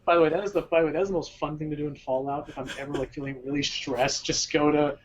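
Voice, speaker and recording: A man playing a tabletop game, talking in a halting, rambling way with many restarts. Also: fairly high pitch, steady tone, highs cut off, a crowd in the background. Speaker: player. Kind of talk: casual tabletop game chat.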